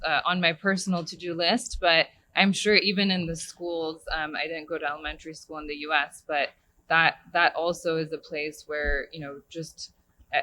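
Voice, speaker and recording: A man's voice: fairly high pitch, sharp tone, a little hiss or hum, poor audio. A man from Iran speaking on a conference panel, hesitant with stammering starts. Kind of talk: panel discussion. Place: conference stage.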